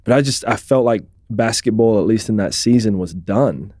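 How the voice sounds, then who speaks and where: Mellow-voiced British man, reflective guest. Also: lowish voice, normal speed, dry recording, clean studio sound. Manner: reflective, open storytelling. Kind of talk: conversational interview.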